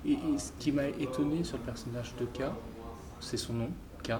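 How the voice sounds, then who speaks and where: A man's voice: medium pitch, steady tone, moderate pace, crowd noise. A man asking interview questions conversationally, hesitant and searching for his words. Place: book festival. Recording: noisy festival ambience. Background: clear ambient noise.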